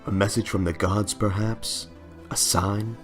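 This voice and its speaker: A man's voice: on the low side, steady tone, a little slow, music in the background, close to an everyday voice. A man voicing a solemn, wistful male adventurer narrating his final journal.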